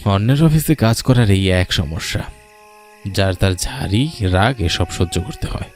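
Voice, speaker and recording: পুরুষকণ্ঠে নিচু গলার কর্তব্যপরায়ণ চাকুরে. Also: male voice, on the low side, expressive, unhurried. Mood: weary grumbling. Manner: grumbling in monologue, affectionate to elder. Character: put-upon office worker, dutiful affectionate grandson. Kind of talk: Bengali cartoon voice acting.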